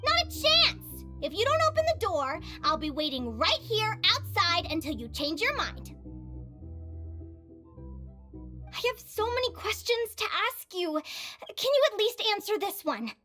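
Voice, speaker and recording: A woman playing a determined, earnest young fairy girl in an anime-style video game, with an expressive, eager delivery. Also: very high voice, moderate pace, full character voice. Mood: pleading, insistent. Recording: game music behind the voice.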